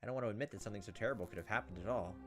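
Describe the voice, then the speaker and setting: A man's voice: on the low side, rapid-fire. A man hosting a gaming video, reading visual novel text aloud dramatically with joking, exasperated asides. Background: game music and cricket sounds.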